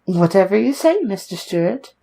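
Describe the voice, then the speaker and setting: A woman's voice: medium pitch, very animated, moderate pace, creaky, mellow. A woman playing a timid, polite young newcomer in a radio suspense drama, meek, hesitant and deferential. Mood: hesitant, uneasy. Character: timid, polite, apologetic young woman starting a new job. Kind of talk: old-time radio suspense drama acting.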